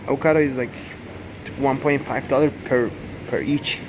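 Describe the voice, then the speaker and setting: Young man, Turkish accent, halting speech, lots of "like", phone mic, noisy store behind. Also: medium pitch, steady tone. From Turkey. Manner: halting, many fillers and self-corrections. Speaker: vlogger. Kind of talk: casual walk-through vlog. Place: large supermarket indoors. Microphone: handheld phone camera. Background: store ambience.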